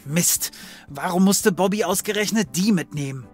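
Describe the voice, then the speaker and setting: A man's voice: high-pitched, normal speed, faint music behind, natural voice. A man playing a cocky occult detective in a comedy audio drama, wry and full of bravado. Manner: wry, cocksure. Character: cocky male occult detective, fear hidden under bravado.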